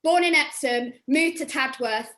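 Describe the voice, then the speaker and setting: A woman's voice: high voice, a little slow, bright, forceful tone. A young woman from England, chatty and self-deprecating as she takes part in an acting workshop over a video call. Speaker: workshop participant. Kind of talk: casual chat.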